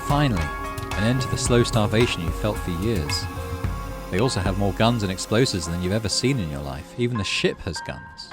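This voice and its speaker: A man reading a game's story script aloud as expressive narration for a gaming channel. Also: fairly low pitch, brisk, over loud music.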